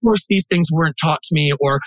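A man's voice: fairly high pitch, a little fast, no room sound. A thirty-year-old man from the American Midwest, a drag performer, talking casually as a podcast guest. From US Midwest, suburbs of Chicago. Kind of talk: casual conversation.

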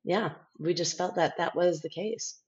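Woman, middle-aged, lowish voice. Candid interviewee, halting and unfinished phrasing. Muffled, lo-fi capture. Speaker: interview guest, former overseas aid worker.

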